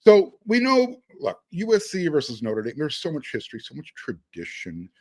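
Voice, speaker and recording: A man's voice: on the high side, huge pitch swings, moderate pace, darkish tone, no room sound. A man hosting a sports show, asking questions in a conversational interview style.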